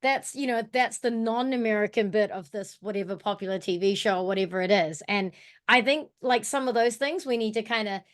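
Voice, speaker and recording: A woman's voice: medium pitch, fairly quick. Chatty New Zealand woman thinking aloud. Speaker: workshop co-presenter, educator. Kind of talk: casual reflective discussion in an online workshop. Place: online video call.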